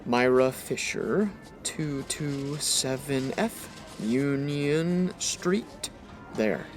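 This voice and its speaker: Woman playing a very low-voiced snippy store clerk. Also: drawn-out words, over music, exaggerated voice. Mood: curt, irritated. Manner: curt, haughty, dry. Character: snippy veteran department store clerk, curt and sarcastic. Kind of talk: comedy audio drama acting.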